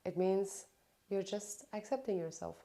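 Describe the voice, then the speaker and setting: A woman host with a middle-pitched voice speaks in an easy conversational style. She encourages her guest and slips into casual personal stories. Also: unhurried. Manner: warm encouraging listener, lively anecdotal storyteller. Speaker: podcast host.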